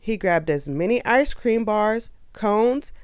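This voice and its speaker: A woman reads a children's story with expressive, animated delivery. Her pace is slow and her pitch moves freely, recorded close and dry over faint music. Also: clear, resonant tone, no echo. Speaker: storybook narrator. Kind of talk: scripted children's read-aloud narration.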